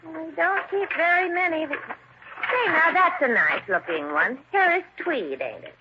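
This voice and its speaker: An actress who rambles in a folksy, gossipy way. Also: female voice, extremely high pitch, very animated, moderate pace, muffled recording. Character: chatty older female rooming house landlady. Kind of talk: 1950s radio acting. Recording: old radio broadcast with hiss.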